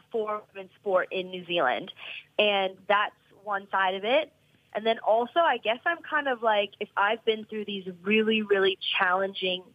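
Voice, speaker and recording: A woman's voice: mid-range voice, dry recording. Earnest woman, thirties, muffled line. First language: English. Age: 30s. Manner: earnest, rambling with many restarts. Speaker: professional soccer player, guest. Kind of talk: casual podcast interview.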